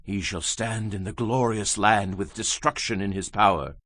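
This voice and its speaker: A man's voice: fairly low pitch, moderate pace, a bit bright, no echo. A man giving a solemn, declamatory dramatized reading of scripture as a Bible narrator.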